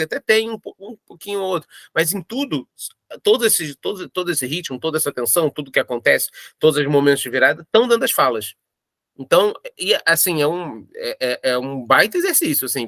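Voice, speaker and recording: A Brazilian man explaining his craft in an unscripted interview, halting and repeating words for emphasis as he goes. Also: fairly high pitch, sharp tone, no echo, clean studio sound. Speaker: audio drama writer.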